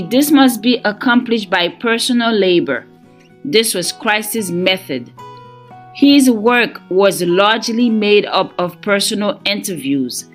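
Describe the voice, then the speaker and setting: A woman reading a scripted devotional in a measured, earnest manner. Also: mid-range voice. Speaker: devotional reader.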